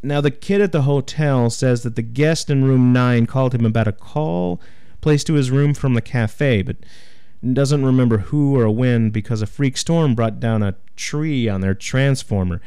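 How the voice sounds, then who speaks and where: A man playing a businesslike small-town policeman going briskly through case details. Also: medium pitch, mellow, clean tone, dry recording, studio quality, natural voice.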